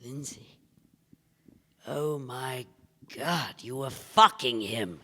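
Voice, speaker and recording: An actor playing a somber, anguished man who speaks gravely and haltingly in a live staged play reading. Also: medium pitch, bright, forceful tone, exaggerated voice. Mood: stunned. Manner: grave, halting, emotionally pressing. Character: Joe, somber, anguished divorce investigator bearing bad news. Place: theatre stage before a live audience. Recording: live theatre stage, long hall reverb.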